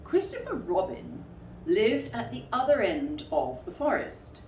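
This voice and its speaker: A woman reading a children's story expressively, with emphatic, deliberate phrasing. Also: on the high side, huge pitch swings, a little mellow. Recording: roomy, distant mic with faint noise.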